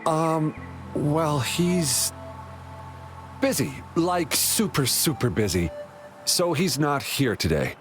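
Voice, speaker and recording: Lighter-voiced gentle young man, very expressive. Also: on the high side, takes it slowly, pauses often, soft background music. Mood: gentle, reassuring. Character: kind young man gently humouring a child. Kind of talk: video game voice acting.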